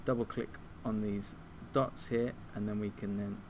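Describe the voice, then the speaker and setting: A man giving an informal software tutorial, explaining things step by step like a teacher. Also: lowish voice, steady tone, measured pace, background noise. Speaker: music technology teacher.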